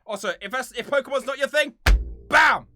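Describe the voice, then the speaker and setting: A man's voice: extremely high pitch, brisk, bright, forceful tone, no echo, clean studio sound, faint music behind. A man of about thirty chatting casually to viewers as a channel host, in a playful, theatrically mock-serious way. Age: around 30.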